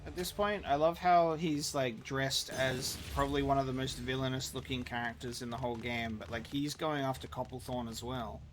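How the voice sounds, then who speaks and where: A man's voice: mid-range voice, fairly even intonation, moderate pace, hardly any pauses, noisy background. A man giving casual, wry, chatty live commentary as a game streamer. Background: video game music.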